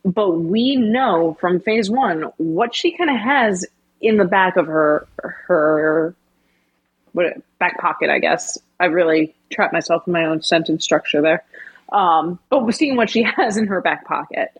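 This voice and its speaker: A woman's voice: on the low side, unhurried, slightly rough, no room sound, highs cut off. A woman co-hosting a casual discussion podcast, chatty and self-deprecating, sometimes losing the thread of a sentence. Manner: chatty, self-deprecating, loses her sentence then laughs it off. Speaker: podcast co-host.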